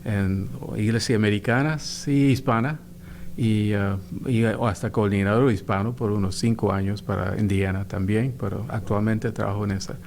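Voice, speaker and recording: An older man, a pastor, answering interview questions slowly with many fillers and restarts. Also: fairly low pitch, steady tone, a little mellow, a little hiss or hum. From United States. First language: Spanish heritage, raised in New York. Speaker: pastor, church official.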